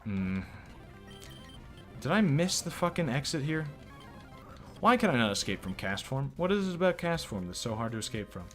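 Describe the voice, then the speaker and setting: A man's voice: medium pitch, big pitch swings, moderate pace, long pauses, fairly bright tone, soft background music. A man giving casual, profane, rambling commentary while playing a video game and reacting to chat. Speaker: let's play streamer.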